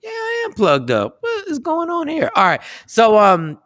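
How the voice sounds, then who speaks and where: A man's voice: extremely high pitch, wildly swooping pitch, normal speed, a bit bright, no echo, clean studio sound. A man hosting an internet radio show, chatting casually and rambling, now and then breaking into sound effects.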